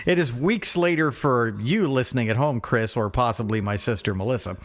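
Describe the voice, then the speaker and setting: A man's voice: mid-range voice, a little fast. A middle-aged man co-hosting a podcast in casual comic chat, rambling in a wry, irreverent way. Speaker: podcast co-host.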